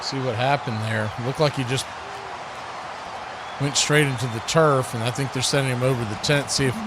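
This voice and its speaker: A man's voice: mid-range voice, steady tone, normal speed, background noise. A man doing live radio-style football play-by-play as the announcer.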